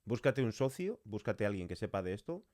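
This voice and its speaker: A man's voice: fairly low pitch, big pitch swings, brisk, darkish tone, no room sound, studio quality. A man from hosting a casual podcast interview, informally prompting his guest with hypothetical questions.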